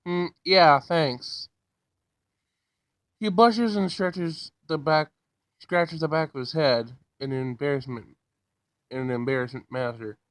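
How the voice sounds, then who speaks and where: A man's voice: mid-range voice, steady tone, moderate pace, dry recording. A man hosting a gaming video, casually reading a visual novel aloud and reacting to it.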